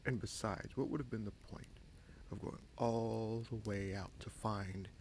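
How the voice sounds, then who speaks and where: An actor playing a wry, candid bastard prince in a fantasy audio roleplay, speaking in a measured, musing way with frequent pauses. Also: male voice, fairly low pitch, fairly even intonation, a little mellow, slight background noise. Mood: amused, earnest. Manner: measured, musing, pausing often mid-sentence. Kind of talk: fantasy audio roleplay, slow intimate monologue.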